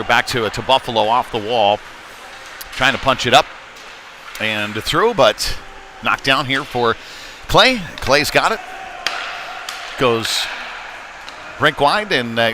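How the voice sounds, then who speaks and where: A man doing live play-by-play hockey commentary with folksy, hesitant phrasing from inside an ice arena. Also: medium pitch. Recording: live rink broadcast with loud background noise. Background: loud arena ambience.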